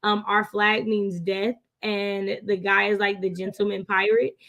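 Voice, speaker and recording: An American woman co-hosting a casual book chat, telling things in an animated, slangy way. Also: medium pitch, a little slow, muffled recording. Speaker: book readalong co-host.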